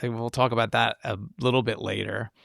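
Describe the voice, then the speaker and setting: A man co-hosting a podcast, talking through a film in a casual, halting, excitable way. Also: mid-range voice, vocal fry, no echo, clean studio sound. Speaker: podcast co-host.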